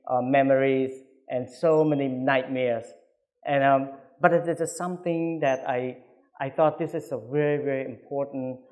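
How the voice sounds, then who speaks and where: A man in his fifties with a Cambodian accent, a native Khmer speaker, giving a public talk as an author in a halting, earnest way. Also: mid-range voice, narrow, tinny audio. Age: 50s. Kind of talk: public talk about own book.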